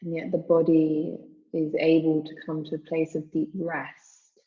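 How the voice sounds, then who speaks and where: A woman teaching yoga nidra, guiding a meditation in a calm, soothing, measured manner with long pauses. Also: deep voice, narrow, tinny audio. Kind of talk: guided meditation, spoken slowly with long pauses.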